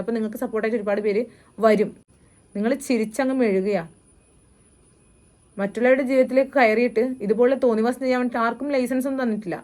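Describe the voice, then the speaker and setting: A woman giving disapproving, at times sarcastic opinion commentary as a reaction video host. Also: fairly high pitch.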